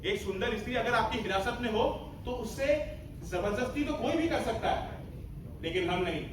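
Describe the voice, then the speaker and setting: A man's voice: high-pitched, normal speed, lightly acted. A man playing a principled, commanding army major in a theatrical stage play, emphatic and mixing in English orders. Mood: calm, firm. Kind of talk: theatrical Hindi stage acting. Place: stage of a hall at a student festival. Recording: echoey hall, far mic, faint noise.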